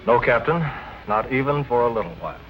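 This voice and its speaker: An actor playing an earnest, defensive husband under suspicion, in a 1940s film noir style. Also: male voice, mid-range voice, expressive, fairly quick, dull audio, close to an everyday voice. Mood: guarded. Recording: old film soundtrack with music behind.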